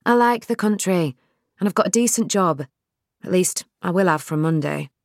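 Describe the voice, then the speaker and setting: A woman's voice: lowish voice, expressive, moderate pace, no room sound, studio quality. A woman voicing a determined young woman in her late twenties who talks eagerly and insistently, a little impatient.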